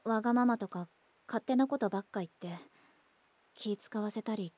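女性が演じる素直になれない女子高生. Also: female voice, mid-range voice, moderate pace, warm tone, no room sound, lightly acted. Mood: earnest. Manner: curt, awkwardly earnest. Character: blunt, awkward high school girl. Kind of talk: voice drama acting.

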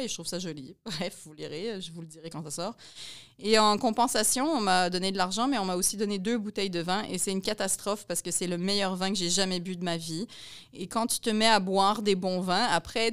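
A woman's voice: on the low side, smooth. A woman with a Quebec French accent, telling stories in a chatty, self-mocking podcast monologue. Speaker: podcast host. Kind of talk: casual storytelling monologue.

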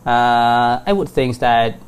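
A man's voice: mid-range voice, slow, clean tone. A man hosting a casual podcast, with a hesitant delivery full of fillers like "uh" and "like". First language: Thai. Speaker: podcast host.

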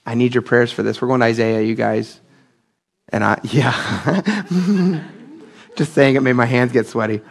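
Man, pastor, casual sermon, conversational, middle pitch, humorous asides. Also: a little slow, vocal fry, pauses often. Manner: casual, chatty preaching with asides and laughs.

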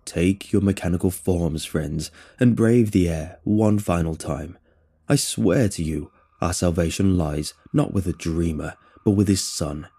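A low, gravelly alien voice crackles and roars out of hidden loudspeakers, put on by a man, calling its colleagues to rise. It speaks slowly and with eager, solemn conviction. The tone is dark and rough. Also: exaggerated voice. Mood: zealous proclamation. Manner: crackling, roaring, eager proclamations. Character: alien machine voice rallying its lost colleagues. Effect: blaring over scattered loudspeakers.

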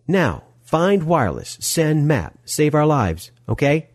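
A man voicing a bold, sharp-tongued young woman with a breezy, teasing manner in an audiobook story. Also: on the high side, very animated, moderate pace, no echo, studio quality, voice pushed up. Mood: snappish.

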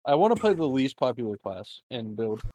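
A man's voice: mid-range voice, very animated, fairly quick, mellow, poor audio. A man co-hosting a casual gaming podcast, teasing and joking with his friends. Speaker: podcast co-host.

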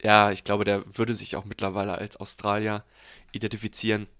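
A man's voice: on the low side, monotone, brisk, fairly bright tone. A man chatting casually and with strong opinions about a film on a podcast. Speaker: podcast co-host. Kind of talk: casual film review chat.

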